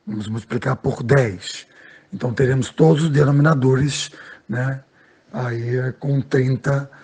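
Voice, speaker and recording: Very husky-voiced man teaching math. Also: mid-range voice, mellow. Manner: patient, explanatory, frequent "né" and "ok?" checks. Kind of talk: step-by-step lesson narration.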